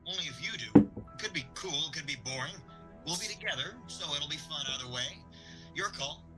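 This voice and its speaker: A man's voice: fairly high pitch, expressive, moderate pace, loud music behind the voice, lightly acted, poor audio. A man playing an easygoing, slightly unsure schoolboy with a casual manner in an audio drama. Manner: casual, laid-back.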